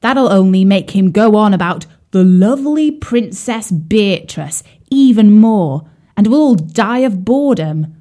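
A woman's voice: medium pitch, animated, unhurried, mellow, lightly acted. A woman voicing a grumpy, rude male carp in a children's story, with a snappy, scornful, complaining manner.

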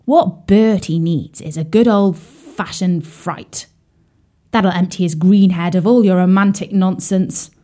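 A woman's voice: on the low side, unhurried, dark tone, close to an everyday voice. A woman voicing a grumpy, rude male carp in a children's story, with a snappy, scornful, complaining manner.

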